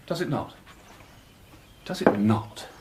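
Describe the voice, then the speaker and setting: A man playing a brilliant, eccentric amateur detective in a radio drama, cryptic, brisk and self-assured. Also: on the low side, expressive, background noise. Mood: musing, probing.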